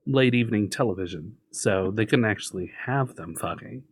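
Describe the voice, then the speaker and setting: A man's voice: lowish voice, normal speed, slightly rough, dry recording. Blunt guy co-hosting a podcast, sits right on the mic, dry sound. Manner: blunt, laughing, projects his voice. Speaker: podcast co-host. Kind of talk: casual chat. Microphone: close to the mic.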